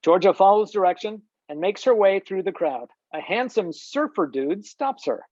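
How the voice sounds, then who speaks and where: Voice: mid-range voice, expressive, moderate pace, darkish tone, no room sound. Screenplay narrator, scripted delivery, close dry sound with slightly muffled call quality. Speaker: stage-direction reader. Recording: dull video-call audio.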